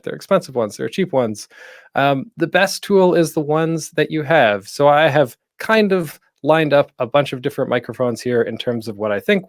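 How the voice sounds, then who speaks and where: Male panel host, very animated pitch swings, informal presenter style with self-deprecating asides. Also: far from the mic.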